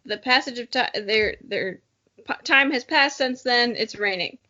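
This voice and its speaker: A woman's voice: on the high side, unhurried, bright, forceful tone, muffled recording. A woman podcast co-host giving a dramatic reading of a childhood story with self-mocking, giggly asides.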